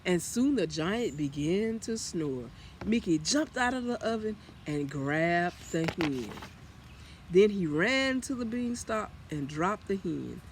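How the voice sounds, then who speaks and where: A man reading a children's story aloud as storyteller, in an expressive manner. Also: high voice, slow pace, a little hiss or hum. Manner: expressive, with acted character lines.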